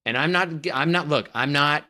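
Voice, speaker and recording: A man's voice: a little high, moderate pace, bright, forceful tone. A man co-hosting a casual movie podcast, bantering in an opinionated, emphatic way and needling his co-host.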